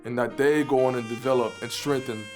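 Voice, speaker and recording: A man's voice: mid-range voice, steady tone, fairly quick. A man from Haiti, a saxophonist, talking earnestly about his music in a recorded interview. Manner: earnest, reflective, repeats phrases for emphasis. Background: guitar music.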